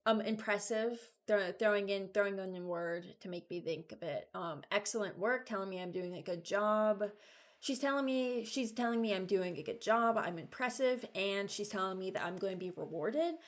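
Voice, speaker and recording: A woman's voice: mid-range voice, normal speed. A woman giving casual, unscripted commentary as a video creator, hesitant and thinking aloud. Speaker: video creator ranking game voice lines.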